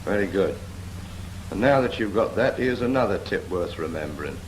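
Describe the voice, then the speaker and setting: Brief: firm, patient British naval instructor, lowish voice, brisk teaching questions, noisy 1940s film soundtrack. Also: male voice, expressive. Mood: instructive. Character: patient, brisk Royal Navy instructor teaching a novice helmsman. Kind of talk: scripted 1940s training film dialogue.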